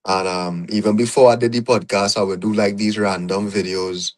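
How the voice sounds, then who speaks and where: A young man with a Trinidadian accent and a fairly low voice, talking through his journey as a guest on a podcast. He speaks in a reflective, storytelling way, peppering his thoughts with "you know". The conversation is casual and unhurried. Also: fairly even intonation.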